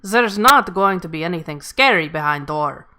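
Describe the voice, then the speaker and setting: A woman's voice: fairly low pitch, expressive, normal speed, bright, forceful tone. A woman playing a tough, gung-ho spaceship officer with clipped grammar in a sci-fi comedy read. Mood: tough, scornful. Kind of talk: live table read of sci-fi comedy script.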